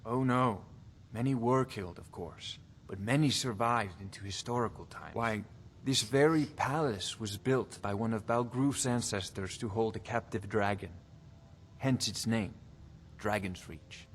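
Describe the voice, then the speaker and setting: An actor playing a haughty, condescending court wizard in a fantasy video game, speaking in a formal, lecturing way. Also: male voice, on the low side, unhurried, a little hiss or hum, close to an everyday voice. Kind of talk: scripted video game dialogue.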